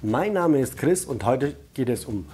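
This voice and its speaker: A man's voice: medium pitch, expressive, a little slow, warm tone. A man with a cold walks the viewer through an online tutorial in a friendly, direct way. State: has a cold. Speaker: YouTube channel host. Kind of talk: casual step-by-step tutorial.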